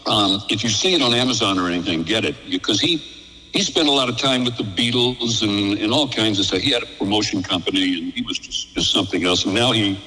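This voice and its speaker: An older American man with a bright, forward voice tells stories casually, as a radio veteran would in an interview. He is chatty and slips into quoted dialogue as he recounts things. The sound carries a long, hollow reverb. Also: fairly low pitch, brisk. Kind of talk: casual anecdotal storytelling. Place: remote call into a live radio broadcast.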